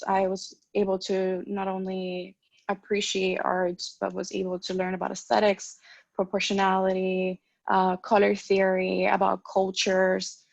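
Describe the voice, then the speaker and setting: A woman's voice: medium pitch, gravelly, no room sound. A woman in her twenties from Cuba, a dental student on a panel, telling her story earnestly with frequent ums and restarts, heard over a video call. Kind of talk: panel talk, personal story. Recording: dull lo-fi dry sound.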